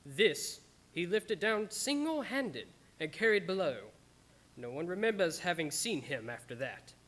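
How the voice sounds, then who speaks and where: A man's voice: sits high, sing-song, unhurried, fairly bright tone, full character voice. A man playing a solemn young Englishman who reads his journal aloud in a radio play. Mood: solemn, tense. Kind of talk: dramatic radio-play narration. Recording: live-streamed radio play with music bed.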